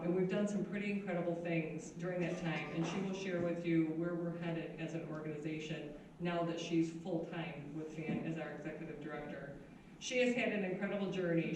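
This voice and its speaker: A woman's voice: fairly low pitch, a little mellow. A woman who organizes the event, reading a prepared introduction in an earnest, slightly nervous way. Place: meeting room. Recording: very echoey hall, distant mic, faint noise.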